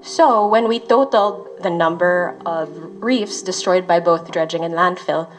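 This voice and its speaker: A woman from the Philippines, a research associate, calmly explaining findings with occasional fillers. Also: lowish voice, a little slow, soft background music. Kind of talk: explanatory interview talk.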